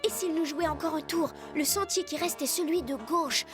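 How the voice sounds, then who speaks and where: A woman's voice: high voice, moderate pace, over music, natural voice. A woman voicing a kind, sensible young female rabbit in a cartoon, earnest and decisive. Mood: cautious, decisive. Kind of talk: French cartoon character acting.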